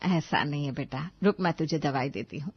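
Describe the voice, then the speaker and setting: A woman's voice: deep voice, normal speed, breathy edge, no room sound, lo-fi sound. A woman playing a caring, thrifty middle-aged mother in a cartoon moral story, reasoning and scolding in a homely way. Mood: subdued, patient.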